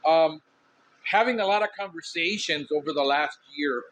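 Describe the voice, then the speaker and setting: A man from Miami with a Cuban Miami accent, hosting a podcast in a casual monologue, loud, outspoken and candid, smoking a cigar as he talks. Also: on the high side, normal speed, low-quality recording. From Miami, USA. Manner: smoking a cigar while talking. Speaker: podcast host.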